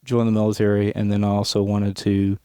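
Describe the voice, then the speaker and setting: Low, even-voiced man from Tennessee. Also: brisk, smooth, no echo, studio quality. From West Tennessee, USA. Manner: relaxed storytelling, chuckles often, many restarts. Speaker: police aviation unit leader, guest. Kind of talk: casual podcast interview. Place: hangar office.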